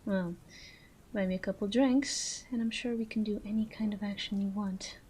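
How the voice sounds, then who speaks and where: A woman's voice: mid-range voice, fairly even intonation, dark tone, slight background noise, lightly acted. A woman playing a flirty, self-assured female mafia boss in a roleplay audio drama, coy and teasing as she speaks straight to the listener. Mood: confident flirtation. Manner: coy, teasing, drawn-out phrasing with breathy asides.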